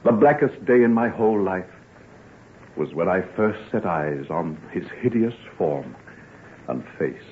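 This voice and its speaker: On a thin, noisy vintage radio recording, an earnest gentleman doctor. His voice is fairly low, and his delivery is formal and theatrical, weighted with remorse and determination. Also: lively intonation, a little slow, narrow, tinny audio. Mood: remorseful, earnest. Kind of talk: old-time radio drama acting.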